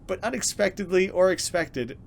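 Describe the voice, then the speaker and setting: A man's voice: high voice, expressive, moderate pace, vocal fry, a little hiss or hum. A man hosting a let's play, reading horror game narration dramatically and reacting with casual commentary.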